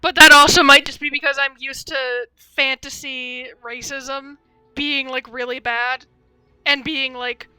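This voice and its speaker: High, very bright young woman streamer. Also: little pitch movement, unhurried, no echo, soft background music, rough recording. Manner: chatty, rambling asides. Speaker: game streamer. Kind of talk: casual live game commentary.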